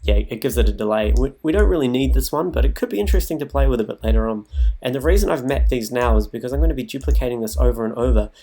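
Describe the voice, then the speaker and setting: A man's voice: mid-range voice, lively intonation, rapid-fire. A man presenting a music production tutorial in a casual, improvised way, thinking aloud as he demonstrates on his computer. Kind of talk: casual, improvised software walkthrough. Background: music loops from the demonstrated software.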